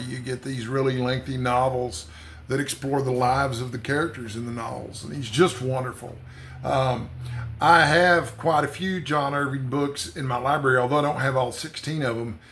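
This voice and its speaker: A man's voice: mid-range voice, steady tone, normal speed, a bit bright, slight background noise. A man hosting a book channel, talking casually and conversationally without a script, in a rambling way. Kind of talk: casual unscripted book vlog.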